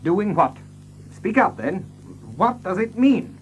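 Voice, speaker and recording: An actor playing an imperious, hot-blooded young baronet in a radio-style drama, speaking in a commanding, insistent way. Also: male voice, high voice, big pitch swings, slow, highs cut off, soft background music, heavily acted. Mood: stern, demanding. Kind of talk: radio-play dramatic acting.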